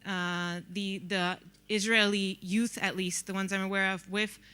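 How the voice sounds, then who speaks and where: This is a woman, putting a question to a panel from the audience. Her voice sounds bright and sharp. She speaks hesitantly, with frequent ums and restarts. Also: medium pitch, level tone, a little slow. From Peru and Costa Rica. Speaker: audience member asking a question. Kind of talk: question from the floor. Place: conference hall.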